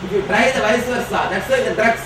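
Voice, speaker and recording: High-voiced man teaching in an echoey hall. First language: Tamil. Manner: slow, emphatic and declarative. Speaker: spiritual teacher. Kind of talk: answering questions from an audience. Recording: echoey hall from far off, noisy.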